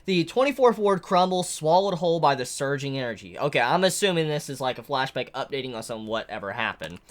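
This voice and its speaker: Low-voiced woman doing a live manga reaction, kinda stuffy from a cold, very animated. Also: brisk. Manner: excitable, chatty, exclaims often. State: congested from a head cold.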